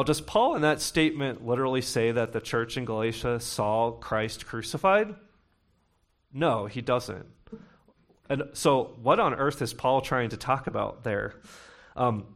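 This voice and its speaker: A man's voice: mid-range voice, fairly bright tone. Young male pastor preaching with bursts of emphasis. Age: young adult. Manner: conversational preaching with bursts of emphasis, reading scripture slowly. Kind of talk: sermon.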